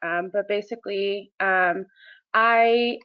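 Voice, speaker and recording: A woman's voice: medium pitch, steady tone, slow pace, clean tone, muffled recording. A woman in her twenties from Washington State, speaking hesitantly on a panel with many ums and restarts. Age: 20s. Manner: hesitant, lots of "um", self-correcting.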